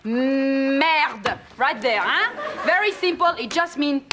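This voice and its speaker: A woman's voice: high voice, lively intonation, slow pace, background noise. A woman playing a condescending French airport security officer with a French accent, mocking and sing-song. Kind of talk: sketch comedy acting.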